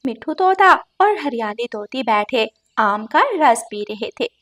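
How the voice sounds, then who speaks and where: A woman's voice: fairly high pitch, animated, unhurried, a bit bright. A woman storyteller reading scripted narration for a children's tale.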